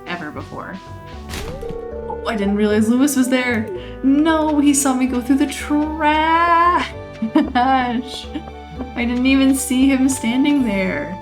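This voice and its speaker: A chatty woman, somewhat hoarse from losing her voice, rambling through casual gameplay commentary with frequent laughs. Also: sits high, slow. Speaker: let's play host. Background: game music.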